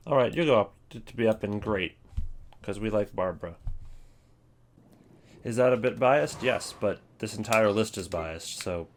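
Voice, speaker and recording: A man's voice: on the low side, moderate pace, pauses often, slight background noise. A man giving offhand, opinionated casual commentary as a video creator ranking things.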